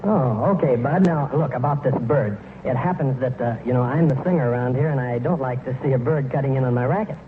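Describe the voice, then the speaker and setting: An actor playing a proud, jealous tavern singer with indignant retorts in a 1940s radio comedy. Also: male voice, mid-range voice, fairly quick, hardly any pauses, natural voice. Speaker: singer on the show. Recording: noisy, tinny 1940s radio recording.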